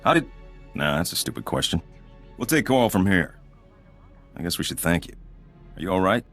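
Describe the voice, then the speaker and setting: Male intelligence agent for a video game, fairly deep, animated, matter-of-fact and familiar. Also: brisk, soft background music, exaggerated voice. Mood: brisk, concerned.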